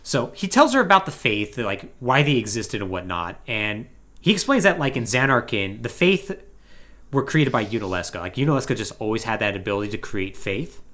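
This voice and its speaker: Animated man recapping a story. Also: fairly low pitch, fairly quick. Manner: animated, conversational, acting out paraphrased dialogue. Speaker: podcast host.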